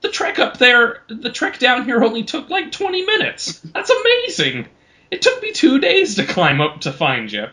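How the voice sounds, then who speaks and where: Man doing a high-pitched, anxious little halfling. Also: wildly swooping pitch, normal speed, pushed and bright, heavily acted. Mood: impressed, irritated. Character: small anxious male halfling, easily angered.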